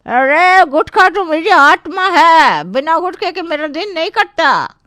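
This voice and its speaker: A woman's voice: very high voice, expressive, unhurried, sharp tone, no echo, studio quality, voice pushed up, heavily acted. A woman voicing a shameless, bossy aunt in a Hindi comic story, with a sarcastic, theatrical manner. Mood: defiant, scolding. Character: brash, shameless, gutka-chewing Indian aunt, bossy and theatrical.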